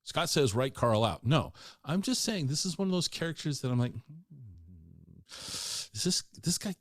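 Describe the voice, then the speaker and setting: Man, podcast host, lively swinging pitch, dry close mic. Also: measured pace, no echo. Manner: enthusiastic, chatty, animated. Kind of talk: casual interview chat.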